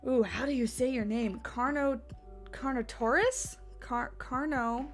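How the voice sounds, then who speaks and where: A woman's voice: high-pitched, a little slow, soft background music. Congested woman rambling on a livestream. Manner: rambling, many fillers and restarts, reads chat aloud. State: congested, sniffly. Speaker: livestream host. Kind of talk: casual livestream chat.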